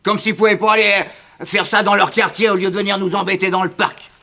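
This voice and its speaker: An actor playing a callous, grumbling police chief in a French radio crime serial, dismissive in manner. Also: male voice, high voice, steady tone, fast talker, vocal fry, sharp tone, full character voice. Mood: callous, annoyed.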